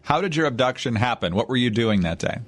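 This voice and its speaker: A man hosts a radio interview with a measured, direct way of asking questions. His voice sits at a middle pitch for a man. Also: expressive. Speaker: radio host.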